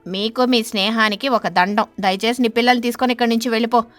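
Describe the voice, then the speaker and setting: A woman acts out a stingy stork husband from an animated fable. He is stern and scolding, with a sharp tongue and a lecturing manner. The sound is close and dry, with music underneath. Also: fairly high pitch, fast, no room sound, lightly acted. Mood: harsh, scornful.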